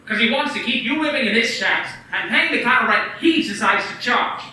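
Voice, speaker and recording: Seorang pria memerankan bankir muda kota kecil yang tulus dan mendesak, dengan nada memohon yang hidup. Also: male voice, extremely high pitch, a little fast, slight background noise, heavily acted. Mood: pleading. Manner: pleading, urgent, animated. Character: earnest young male small-town banker. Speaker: radio play actor. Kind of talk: community radio play acting. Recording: echoey room, far from the mic.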